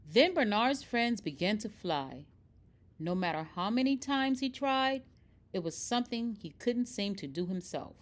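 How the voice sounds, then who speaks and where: Unhurried, animated woman reading a storybook. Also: medium pitch. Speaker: storybook reader. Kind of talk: expressive scripted narration for children.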